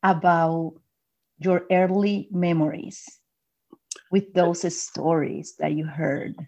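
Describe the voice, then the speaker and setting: Slow, mellow woman interviewer. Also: lowish voice, fairly even intonation. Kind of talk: interview questions. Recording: dull lo-fi sound.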